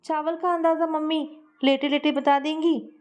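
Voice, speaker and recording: A woman's voice: high-pitched, little pitch movement, normal speed, pitched above the performer's own voice, lightly acted. A woman voicing a cheerful, frank young daughter-in-law in a family story, speaking in a chirpy, plain-spoken way.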